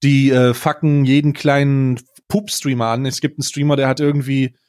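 A man hosting a casual comedic podcast, talking in a halting, informal way with bits of English slang. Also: mid-range voice, flat delivery, a little mellow, dry recording, clean studio sound. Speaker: podcast host.

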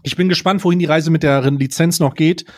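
A man's voice: on the high side, steady tone, brisk. A man co-hosting a casual comedy podcast in a wordy, mock-dramatic way. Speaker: podcast co-host.

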